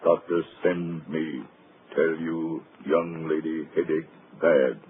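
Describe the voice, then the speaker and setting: An actor playing a brutish manservant who speaks in broken, simple phrases, in 1940s radio acting. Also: male voice, a bass voice. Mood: dull menace. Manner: broken speech, slow and flat. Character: hulking brutish male servant, slow and simple-spoken. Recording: tinny, hissy 1940s radio broadcast.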